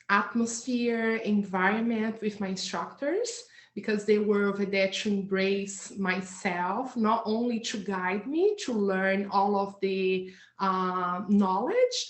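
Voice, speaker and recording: A woman whose first language is not English, a hypnotherapist guest talking in an earnest, halting way with many fillers and restarts over a video call. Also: mid-range voice. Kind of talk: casual interview. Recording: roomy echo.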